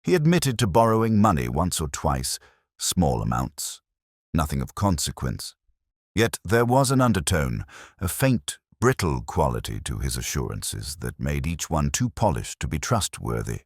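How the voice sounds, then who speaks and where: A man with an extremely deep voice narrates a detective story in the first person. His delivery is measured and brooding, with literary phrasing and a wide, lively pitch movement. The sound is dry, with no room around it. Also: no echo. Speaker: audiobook-style narrator of a detective mystery.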